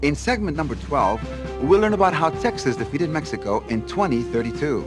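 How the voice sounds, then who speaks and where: A man's voice: on the high side, expressive, brisk, music in the background, lightly acted. A man playing an authoritative television documentary narrator in a game's parody history series.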